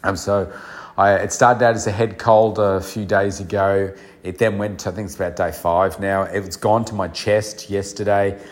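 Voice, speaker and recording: Man, middle-aged, nasal from a head cold, fairly low voice, reflective solo podcast talk, lots of ums. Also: fairly even intonation, moderate pace. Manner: earnest, reflective, with frequent fillers. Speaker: podcast host and coach.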